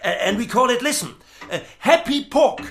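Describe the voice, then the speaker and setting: A man's voice: extremely high pitch, animated, normal speed, heavy background noise. An actor playing an exuberant car-loving salesman in an audio drama, with boisterous, wheedling patter and a German accent. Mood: excited, pitching.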